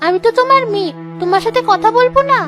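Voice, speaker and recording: An extremely high, childlike talking goose from a cartoon. It sounds sweet and daughterly, with faint music behind. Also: female voice, rapid-fire. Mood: sweet, reassuring. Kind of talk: Bengali cartoon story dialogue.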